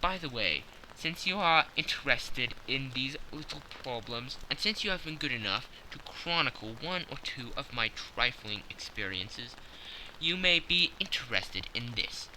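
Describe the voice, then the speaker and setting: A man voicing a brisk, sharp-minded Victorian detective who lectures with crisp self-assurance in an audiobook. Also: medium pitch, expressive, noisy background, natural voice. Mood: lecturing. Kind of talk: audiobook character reading.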